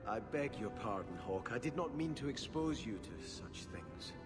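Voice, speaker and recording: An actor playing a devout, formal young prince in a fantasy video game, earnest and easily outraged. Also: male voice, mid-range voice, expressive, normal speed, over loud music, lightly acted. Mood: earnest dismay.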